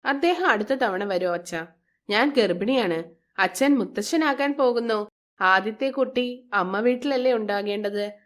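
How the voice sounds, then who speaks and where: A meek young expectant mother in a moral tale, played by a woman. Her voice is high, with a gentle, respectful way of addressing her elders. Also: animated, a little slow. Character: meek, polite young pregnant stepdaughter in a moral story.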